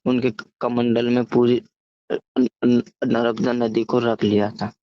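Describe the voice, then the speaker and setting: A man casually telling a story over the phone, halting and often stammering, repeating syllables and words. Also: mid-range voice, level tone. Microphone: phone call. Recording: muffled, echoey phone call recording.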